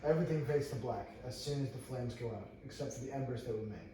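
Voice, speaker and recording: A man's voice: mid-range voice, fast talker, mellow. A man reading screenplay stage directions aloud as narrator at a table read, stumbling and repeating phrases. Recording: echoey room, far from mic, faint noise.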